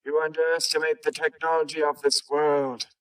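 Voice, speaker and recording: A man's voice: fairly high pitch, flat delivery, moderate pace, smooth, far from the mic, natural voice, poor audio. A man playing a cold, commanding living machine in a comic dub, making formal, grandiose declarations. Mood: imperious, calm.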